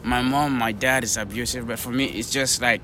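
A man's voice: mid-range voice, fairly even intonation, brisk, pushed and bright, slight background noise. A young man from Cameroon, a podcast guest talking earnestly in casual chat with many "like" fillers.

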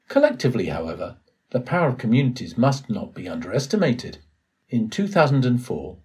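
A man reading a written essay aloud as scripted narration, in a formal, measured way. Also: mid-range voice, darkish tone, slightly rough. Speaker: volunteer reader of an audio blog post.

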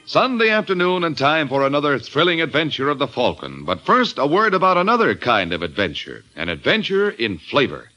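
A man announcing in booming, emphatic old-time radio style, his pitch swinging lively up and down. He sells with theatrical flair and then sets the scene as narrator. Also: fairly quick, few pauses, lo-fi sound, music in the background. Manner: booming, emphatic sales delivery.

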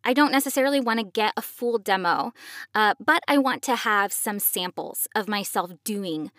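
A woman's voice: medium pitch, animated, normal speed, a bit bright, no echo, studio quality. A woman hosting a podcast, explaining things to parents in a conversational way. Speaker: podcast host and voice actor.

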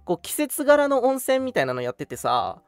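Lively young man chatting over music. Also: extremely high pitch, moderate pace, dry recording. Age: young adult. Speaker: radio show co-host. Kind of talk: casual chat.

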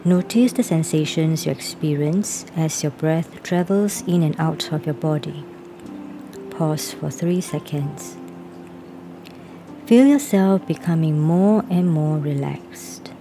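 A woman with a deep, low voice talks very slowly through a guided body-scan relaxation. Her instructions are calm and even, and she reads each pause cue aloud. Clear background music plays under her voice. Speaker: reader of a self-help workbook. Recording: voice laid over music.

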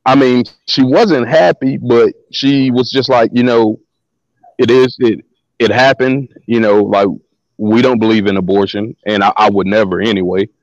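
Deep-voiced man telling stories, lo-fi line. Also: normal speed, dry recording. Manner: relaxed, slangy, repeats words as he talks. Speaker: guest. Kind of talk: casual storytelling interview.

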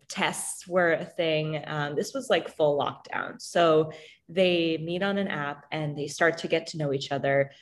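A woman from New York City talks casually as an interview guest. She is chatty and self-deprecating, laughing often. Her voice sits on the lower side. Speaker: guest, musical theater writer. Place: home, on a video call.

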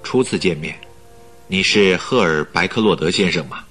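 Deep-voiced, formal accountant visitor. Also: male voice, normal speed, a bit bright, soft background music. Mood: polite, probing. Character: tall accountant in his thirties, politely forward visitor. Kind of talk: radio drama acting.

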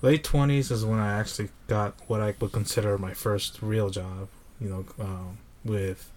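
Casual male podcast guest, age thirty, lowish voice, easygoing rambling delivery. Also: moderate pace. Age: 30s. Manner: relaxed storytelling, many fillers. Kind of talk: casual chat.

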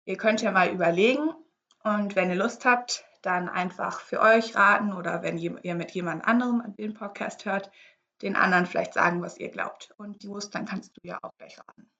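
A woman co-hosting a faith podcast in casual chat, relaxed and chatty as she explains a guessing game; her first language is English. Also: mid-range voice, moderate pace, highs cut off. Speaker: podcast co-host.

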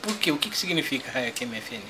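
A man's voice: medium pitch, big pitch swings, fast, pushed and bright, slight background noise. A man hosting a casual podcast interview, friendly, with short affirmations and questions. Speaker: podcast host.

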